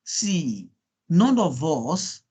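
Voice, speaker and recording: A man with a high voice and a Nigerian accent, a native Yoruba speaker. He coaches in an informal, encouraging way, often repeating himself and putting rhetorical questions to his listeners. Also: sing-song, very slow, dark tone, breathy edge. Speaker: guest speaker coaching students on LinkedIn profiles. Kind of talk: informal online workshop coaching.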